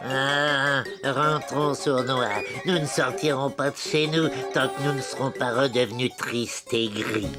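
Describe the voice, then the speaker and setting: Un homme en gobelin boudeur au débit lent. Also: male voice, medium pitch, fairly even intonation, unhurried, few pauses, close to an everyday voice. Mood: sulky. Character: grumpy, sulky goblin mischief-maker. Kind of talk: cartoon dub acting. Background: loud music.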